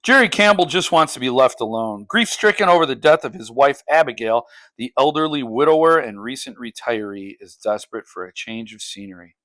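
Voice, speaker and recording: A man hosting a book vlog, talking informally and haltingly as he thinks aloud. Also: medium pitch, expressive, fairly bright tone. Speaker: host of a book unboxing channel. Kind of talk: casual unscripted book vlog chat.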